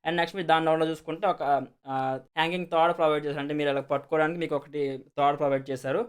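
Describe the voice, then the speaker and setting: A man's voice: a little high, flat delivery, rapid-fire, clean tone. A man with a Telugu accent casually explaining and demonstrating a product as a video host, repeating himself and mixing in many English words. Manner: repetitive, peppers speech with English words.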